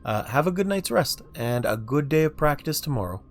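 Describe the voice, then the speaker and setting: A man streaming a video game, talking through his moves in a low-key, muttering way. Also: animated, fairly quick, soft background music. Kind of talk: casual gameplay commentary.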